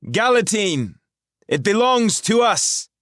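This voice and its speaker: A man's voice: sits high, sing-song, slow pace, fairly bright tone, no room sound, clean studio sound, voice pushed up, heavily acted. A man voicing a fierce young woman who guards a wolf mage, in a haughty, commanding tone. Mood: defiant. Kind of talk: audiobook character voice.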